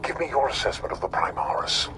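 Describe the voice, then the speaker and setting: An actor playing a newly promoted space marine captain, weary of council duties. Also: male voice, fast talker, sharp tone, lightly acted. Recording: game cutscene with music.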